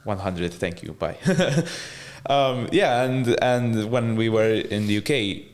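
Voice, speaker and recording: A man's voice: medium pitch, slow pace, a little mellow. A man who is a podcast guest, telling stories from his life in a laid-back, rambling way with frequent restarts and easy laughter. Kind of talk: casual interview storytelling.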